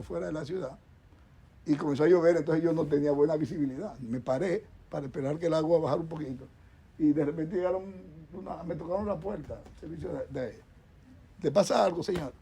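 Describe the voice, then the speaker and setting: A man's voice: on the high side, quick pace, creaky, dark tone, slightly rough. A middle-aged man with a Dominican accent, a doctor speaking as a guest on a talk show panel, rambling with many restarts and repeated words. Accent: Dominican Spanish. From Dominican Republic.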